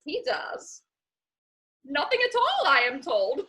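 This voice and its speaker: Gushing Victorian society lady for stage comedy; chatty, very bright, animated. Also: high voice, takes it slowly, heavily acted. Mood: gossipy, airy. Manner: gushing, rambling, theatrical. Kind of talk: stage comedy dramatic reading.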